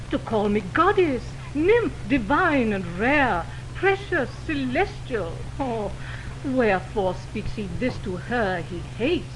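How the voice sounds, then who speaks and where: A woman playing a wounded, indignant young woman in love, in theatrical, declamatory 1920s radio Shakespeare style. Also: on the high side. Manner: theatrical, declamatory verse delivery. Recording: noisy 1929 radio broadcast on optical film.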